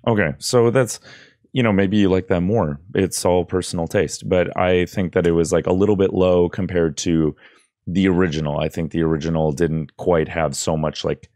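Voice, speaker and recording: A man with a deep voice talks through an audio tutorial. He is informal and a bit hesitant, recorded close and dry at his desk. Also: fairly even intonation, normal speed, a little mellow, no echo. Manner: informal, hesitant, loosely explaining. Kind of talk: casual screen-recorded tutorial. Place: indoors at a computer desk. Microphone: close boom-arm microphone with headphones.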